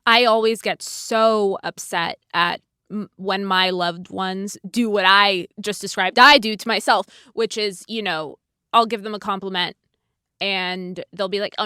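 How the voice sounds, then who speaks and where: A woman's voice: mid-range voice, a little slow, bright, forceful tone, clean tone, dry recording, clean studio sound. A woman hosting a podcast, talking supportively and playfully in a casual conversation. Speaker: podcast host.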